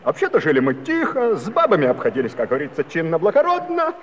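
Garrulous old Cossack storyteller, high voice, folksy pomposity, comic radio play, noisy vintage recording. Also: male voice, wildly swooping pitch, fast, lo-fi sound. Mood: aggrieved, chatty. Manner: folksy, pompous mock-official turns of phrase. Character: garrulous old Cossack villager telling his tale.

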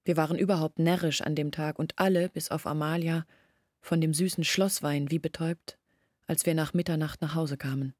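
A woman's voice: deep voice, fairly even intonation, normal speed, darkish tone, dry recording, studio quality. An actress in a radio play playing a village woman who recounts her family's downfall. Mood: reflective. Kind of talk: radio play monologue, storytelling. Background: music.

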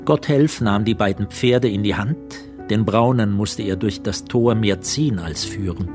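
A male narrator with a low voice tells a literary story for a radio drama. His delivery is measured and articulate, with faint music behind it.

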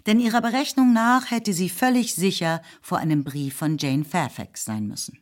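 A woman's voice: lowish voice, moderate pace. A woman narrating a radio drama. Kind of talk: scripted narration.

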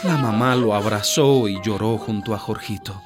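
A man's voice: fairly low pitch, normal speed, loud music behind the voice. A man narrating a radio drama as a scripted story, with a grave, dramatic delivery.

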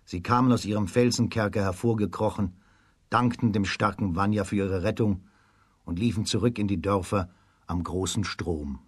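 A man narrating a scripted fairy tale for a children's radio play in a measured storytelling manner. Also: fairly low pitch, steady tone, husky, no echo, clean studio sound.

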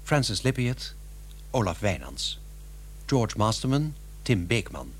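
Formal radio announcer guy reading cast credits, fairly low voice, slightly noisy recording. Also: very animated, slow pace.

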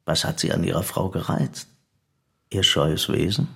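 Husky, gravelly male interrogator. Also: rumbling low, steady tone, pushed and bright, exaggerated voice. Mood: measured, probing. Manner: formal, measured questioning. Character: probing, measured examining interrogator of the accused. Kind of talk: German radio drama acting.

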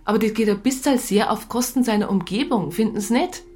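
An actress playing a disillusioned police psychologist in a radio drama, blunt and sardonic. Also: female voice, on the high side, a little fast, a little mellow, soft background music, close to an everyday voice. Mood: agitated, sarcastic. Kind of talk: naturalistic radio drama dialogue.

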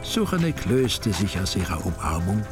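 An older man narrating a radio drama in a measured, wry way. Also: sits low, sing-song. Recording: studio radio play with music.

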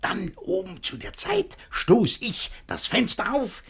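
An actor playing a jealous, scheming middle-aged gentleman in a rhymed-verse radio play, declaiming and swinging between muttering and outbursts. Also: high voice, expressive, slow pace, slight background noise, full character voice. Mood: scheming, vengeful.

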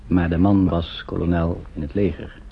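Low, husky male radio drama narrator. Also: flat delivery, moderate pace, full character voice. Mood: sober, probing. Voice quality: husky, gravelly. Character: sober, concerned radio play narrator. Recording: dull old radio recording.

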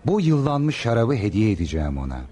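An actor in a radio play voicing a courteous, resolute captain and wine merchant. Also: male voice, lowish voice, sing-song, brisk, over music. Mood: calm, guarded. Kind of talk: radio theatre acting.

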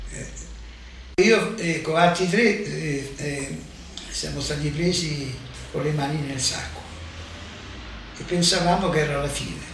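An older man giving a halting spoken recollection as a witness, repeating words as he goes. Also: medium pitch, creaky, fairly bright tone. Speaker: war deportee giving testimony. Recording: echoey distant room recording with faint noise.

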